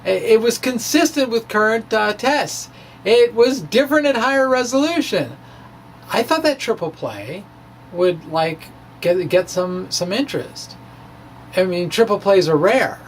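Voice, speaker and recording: Halting, wry man over background noise. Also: sits high, animated. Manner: hesitant, halting, conversational storytelling. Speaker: amateur physicist and blogger. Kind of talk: dramatic reading of a personal science blog.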